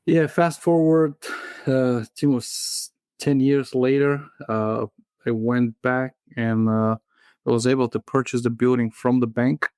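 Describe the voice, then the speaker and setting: A man in his thirties from Bulgaria with a Bulgarian accent, a guest in a casual interview who talks in a halting way, full of fillers and restarts. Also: mid-range voice, steady tone, darkish tone, clear, resonant tone, no echo. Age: 30s.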